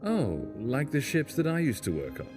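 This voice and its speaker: A man playing a scruffy, sharp-witted castaway from a crashed spaceship in a fan sci-fi audio drama, guarded and probing in manner. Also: mid-range voice, huge pitch swings, moderate pace, faint music behind. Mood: baffled, curious. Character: scruffy stranded male alien spaceship crewman, wary, sharp-witted.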